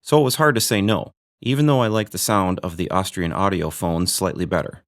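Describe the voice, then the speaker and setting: A man's voice: low voice, fairly quick. A man hosting a gear review video, narrating from a script with dry, joking asides.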